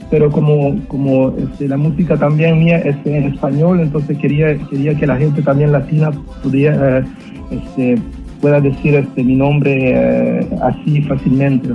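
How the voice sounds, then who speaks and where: Lo-fi, muffled male guest, slightly high pitch, halting delivery. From born in El Salvador, moved young to Quebec, Canada. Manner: halting, repeats words, searches for phrases. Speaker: interview guest, rapper. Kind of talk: casual interview talk. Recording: dull lo-fi radio line, faint music.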